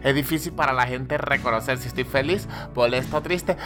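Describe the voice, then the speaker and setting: A man voicing a blunt, bossy woman in her fifties who speaks in a lowered, deadpan way. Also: fairly quick, over music, lightly acted.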